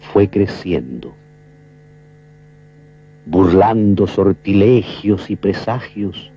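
An actor playing a solemn Argentine storyteller, giving poetic, measured narration of a rural legend in a film. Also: male voice, sits low, breathy edge, exaggerated voice. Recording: dull old film track, music behind.

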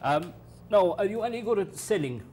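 A man's voice: sits high, animated, moderate pace, darkish tone, slight background noise. A man playing a crude, patronizing small-town boss, rambling and folksy. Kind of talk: comedy sketch acting.

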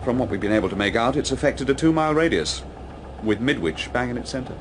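An actor with a British accent playing a courteous, matter-of-fact army lieutenant in a radio drama. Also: male voice, mid-range voice, expressive, fast talker, natural voice. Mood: grave, factual. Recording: noisy outdoor ambience with vehicles.

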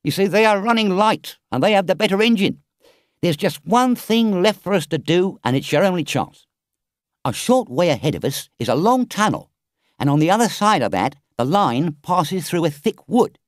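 A man's voice: high-pitched, sing-song, moderate pace, no room sound, clean studio sound, voice pushed up. A man voicing a stern but kind-hearted male engine driver, speaking earnestly in a storybook reading. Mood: urgent. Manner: earnest, urgent.

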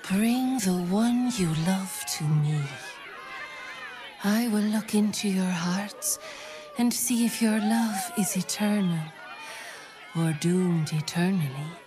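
A woman voicing a solemn, tender, mystical nature priestess in a video game, speaking in a ceremonial, poetic, often breathy manner. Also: mid-range voice, slow, music in the background, lightly acted. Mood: reverent, probing. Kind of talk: video game character acting.

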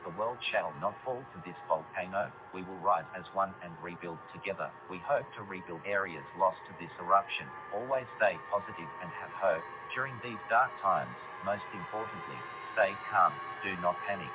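Man acting as low-voiced formal government emergency announcer. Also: moderate pace, hardly any pauses, music in the background, lightly acted, rough recording. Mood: urgent, instructing. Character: grave male presidential representative giving a catastrophe warning. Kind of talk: scripted emergency broadcast announcement.

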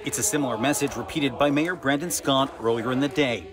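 A man in his thirties giving a TV news field report with a handheld mic, outdoors on a city street at night, in a steady, clipped newscast delivery. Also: medium pitch, brisk.

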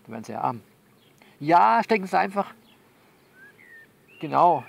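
An actor as a bossy, soothing man with a creaky voice. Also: a little high, very animated, full character voice. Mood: bossy, coaxing. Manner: halting, repetitive, instructive, reassuring. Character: bossy, soothing male confidant coaching a nervous woman. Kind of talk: one-sided phone conversation in an audio novel.

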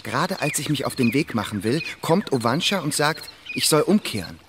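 A man's voice: medium pitch, expressive, moderate pace, noisy background, lightly acted. An actor playing a plain-spoken, curious male geologist in a radio drama, conversational and matter-of-fact. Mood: puzzled, confiding.

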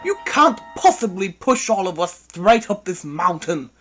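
A man's voice: sits high, sing-song, a little slow, voice pushed up. A man voicing a haughty, indignant steam engine in a children's story, scolding and grumbling. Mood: outraged. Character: haughty, snappish male mountain steam engine.

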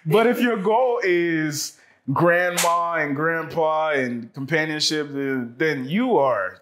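A man's voice: on the high side, slow, noisy background. Man asking probing questions. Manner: guiding, asks probing questions. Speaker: host. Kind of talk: casual conversation. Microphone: lapel mic.

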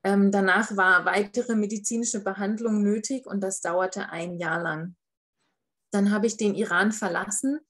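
A young woman on a video call from a living room, carefully reading a refugee's first-person statement with frequent hesitations. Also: medium pitch. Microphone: laptop webcam on a video call.

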